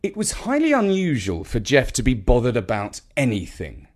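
A man reading a children's story in an expressive narrating style. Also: mid-range voice, normal speed.

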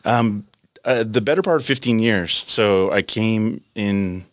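A man's voice: lowish voice, unhurried, dry recording. A man in his late thirties with an American accent, talking casually as an interview guest in a studio. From United States, California. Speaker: interview guest, company country head.

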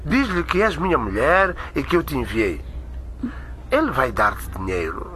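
A man playing an anxious, evasive husband and father in a radio drama, speaking in an urgent, halting, pleading way. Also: medium pitch, wildly swooping pitch, sharp tone, a little hiss or hum, full character voice. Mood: pleading, exasperated.

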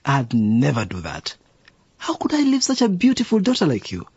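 A man's voice: medium pitch, wildly swooping pitch, moderate pace, a little mellow, slightly rough, no room sound, lo-fi sound, exaggerated voice. An actor playing an affectionate, chuckling middle-aged father and policeman in a radio drama. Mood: tender.

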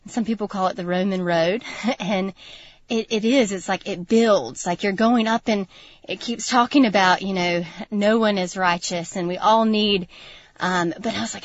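A woman's voice: medium pitch, no echo, lo-fi sound. Earnest woman, dry studio. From North Carolina, USA. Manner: earnest, reflective, laughs often. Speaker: guest. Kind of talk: personal testimony in a radio interview. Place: radio studio.

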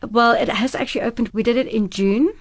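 A woman's voice: fairly high pitch, steady tone, quick pace. A woman whose first language is Afrikaans, speaking earnestly and thoughtfully as an actress and producer in a radio interview. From South Africa. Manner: earnest, thoughtful, some restarts.

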